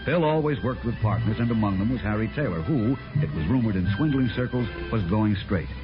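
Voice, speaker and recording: A man's voice: fairly low pitch, expressive, a little fast, natural voice. Male actor as sober American crime-drama narrator, 1950s radio delivery, dull recording, music underneath. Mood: sober, wry.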